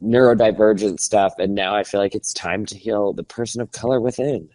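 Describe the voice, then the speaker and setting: A man with a fairly low voice chats casually as a podcast co-host. He talks in an animated, rambling way and breaks into laughter often. The sound is close and dry. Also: brisk, no echo, rough recording. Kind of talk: casual chat.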